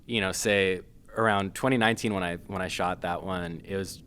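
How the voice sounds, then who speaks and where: Deep-voiced guy from massachusetts, filmmaker chatting in an interview, lots of um and uh. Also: steady tone, fairly quick, a bit bright, a little hiss or hum. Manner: hesitant, many fillers and restarts. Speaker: guest filmmaker. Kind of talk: casual interview.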